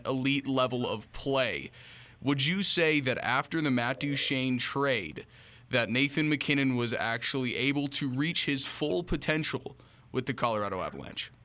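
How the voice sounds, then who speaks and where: A young man in his twenties hosts a sports interview. His voice is mid-pitched, bright and crisp-edged, picked up close on a studio microphone as he sets up questions for his guest. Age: 20s. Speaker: sports podcast host. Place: small office studio, indoors. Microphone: close studio mic on boom arm.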